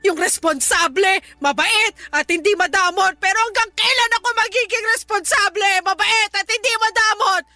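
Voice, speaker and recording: A resentful, dutiful daughter in a Filipino radio drama, with a very high, bright voice. She speaks with a Filipino accent and pleads emotionally, like someone who has given too much to her family. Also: normal speed, few pauses, no echo. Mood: reproachful, hurt.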